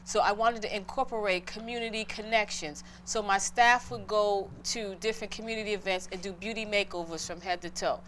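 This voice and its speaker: Middle-aged boston woman, interview guest, earnest heartfelt storytelling, mid pitch, faint noise behind. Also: a bit bright. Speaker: guest entrepreneur.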